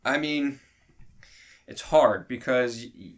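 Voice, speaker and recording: A man's voice: medium pitch, takes it slowly. A man co-hosting a podcast, chatting casually about pop culture and rambling as he thinks aloud. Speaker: podcast co-host. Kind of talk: casual panel discussion about films and games.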